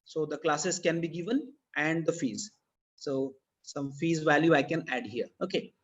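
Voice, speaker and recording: High-voiced Indian man teaching, dull audio. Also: normal speed, slight background noise. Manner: didactic, repeats phrases for emphasis. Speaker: programming teacher. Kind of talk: live online coding lesson. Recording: dull, lo-fi online meeting audio.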